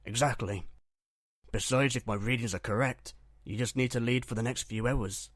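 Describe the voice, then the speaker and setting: A steady, reassuring medic aboard a starship, acted by a man. He has a mid-pitched voice and a matter-of-fact delivery, recorded very dry for a roleplay series. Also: normal speed, dry recording, natural voice. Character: calm, reassuring male ship medic. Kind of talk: Minecraft roleplay web series voice acting.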